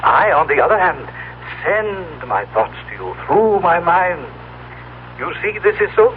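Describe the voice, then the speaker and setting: A proud, solemn alien-like visitor from the future in an old radio play. The male voice is pitched high, with stiff, exact, formal diction. It comes through a thin, tinny band with some background noise. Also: big pitch swings, a little slow. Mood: solemn, explanatory. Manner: formal, stilted, precise diction. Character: mouthless telepathic being from the future, solemn and proud. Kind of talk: old radio drama acting. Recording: tinny, noisy old radio broadcast recording.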